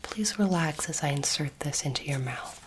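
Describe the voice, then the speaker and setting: A woman whispering breathily in an ASMR roleplay as a courteous, curious alien doctor, gentle, reassuring and measured. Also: deep voice, expressive. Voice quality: breathy, mostly unvoiced whisper. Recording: noisy close recording, clicking sounds.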